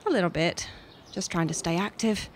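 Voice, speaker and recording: A woman's voice: fairly low pitch, moderate pace, slight background noise, natural voice. A woman playing a polite, put-upon young woman in an audio drama, a little hesitant. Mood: subdued, grateful. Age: young adult. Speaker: actor in a fiction podcast. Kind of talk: naturalistic audio drama dialogue.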